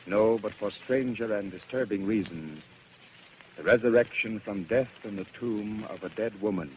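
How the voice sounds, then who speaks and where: An actor playing a grave, foreboding radio announcer in a vintage drama. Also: male voice, on the low side, darkish tone, close to an everyday voice. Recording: muffled, faintly noisy old radio recording.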